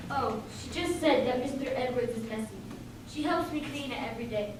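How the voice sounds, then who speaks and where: A woman's voice: high voice, fairly quick, natural voice. A woman playing a deferential, protective mother who works as a servant in an amateur radio play. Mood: guarded. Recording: very echoey room, distant mic, clear background noise.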